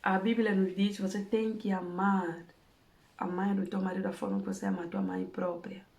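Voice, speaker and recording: A woman's voice: mid-range voice, level tone, moderate pace, creaky, a little mellow, roomy sound. A woman from Angola with an Angolan Portuguese accent, giving earnest, emphatic advice in a monologue. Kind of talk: impassioned monologue. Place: home in Germany.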